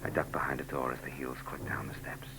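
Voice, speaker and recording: An actor with an American accent playing a world-weary, wisecracking private eye, narrating wryly in a 1940s radio noir drama. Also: male voice, extremely low pitch, monotone, fast talker, heavy background noise. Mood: tense, hushed. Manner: hard-boiled, wry. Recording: vintage 1940s radio recording.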